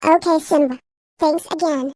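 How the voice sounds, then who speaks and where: A woman voicing a small, helpless cartoon rabbit with a tiny, squeaky, childlike voice in a children's story. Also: steady tone, moderate pace, darkish tone, natural voice. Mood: tearfully grateful.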